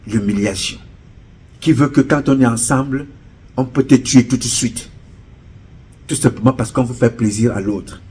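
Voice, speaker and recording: A man's voice: medium pitch, breathy edge. A middle-aged man from Cameroon with a Cameroonian French accent, giving an impassioned political address with emphatic, repetitive oratorical cadence. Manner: emphatic, rising to urgent appeals. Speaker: political commentator.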